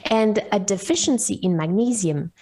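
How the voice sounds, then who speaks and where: A woman's voice: medium pitch, moderate pace, warm tone, breathy edge. A woman with a Swiss-Colombian accent, a podcast guest explaining nutrition in an enthusiastic, explanatory way with upbeat asides. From Switzerland. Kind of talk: casual podcast interview.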